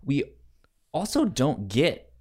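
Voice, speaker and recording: A man co-hosts a casual review podcast. He speaks slowly at an average pitch. His delivery is halting, often repeating the start of a word before getting through it. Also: wildly swooping pitch, darkish tone, slightly rough. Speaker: podcast co-host reviewing anime.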